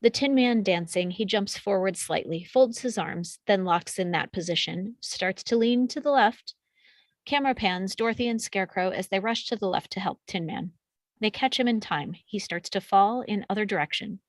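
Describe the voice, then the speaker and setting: A woman's voice: mid-range voice, normal speed, no room sound. Dry-sounding woman reading stage directions. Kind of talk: scripted narration at a table read.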